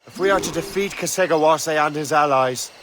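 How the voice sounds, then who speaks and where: An actor playing a wary, self-reliant fighter in a video game, terse and serious in delivery. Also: male voice, fairly high pitch, a little fast, a bit bright, slight background noise, close to an everyday voice. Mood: resolute. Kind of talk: video game dialogue acting.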